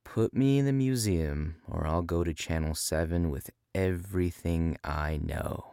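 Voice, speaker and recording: A man's voice: unhurried, warm tone, no echo, clean studio sound. An actor playing a bitter, conspiratorial man with an ominous, dramatic delivery in an audio drama monologue. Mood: grave, threatening. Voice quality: very deep, gravelly. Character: bitter, conspiratorial man reading an angry one-star review.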